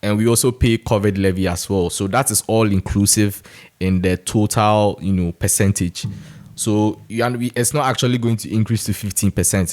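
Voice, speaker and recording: A man's voice: low voice, a little mellow. A man with a Ghanaian accent giving informal, conversational opinion commentary in a frank, critical way. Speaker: commentator giving his views on national news.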